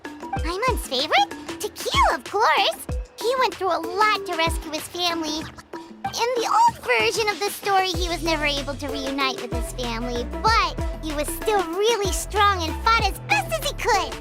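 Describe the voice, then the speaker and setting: A tiny, excitable fairy sidekick from a video game, with an extremely high childlike voice. The delivery is exclamatory and leaps up and down in pitch. Music plays prominently behind the voice. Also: female voice, normal speed, full character voice. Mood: enthusiastic. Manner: speaks of self in third person, exclamatory. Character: small excitable childlike floating fairy companion, female.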